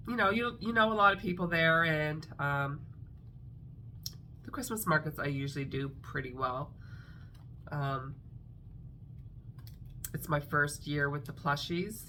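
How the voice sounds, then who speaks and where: A woman's voice: low voice, pushed and bright, a little hiss or hum. A middle-aged woman, a craft vlogger, chatting casually to camera with a congested, nasal voice and a rambling manner full of fillers. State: congested, nasal from a sinus infection.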